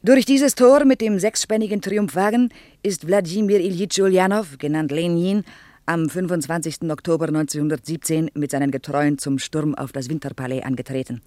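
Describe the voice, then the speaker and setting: A woman's voice: deep voice, normal speed, mellow, no echo, studio quality, close to an everyday voice. An actress playing a Soviet woman tour guide in her thirties in a radio drama, shy but didactic, with a Russian accent and dropped articles. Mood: eager, brisk. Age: 30s. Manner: earnest, didactic, drops articles.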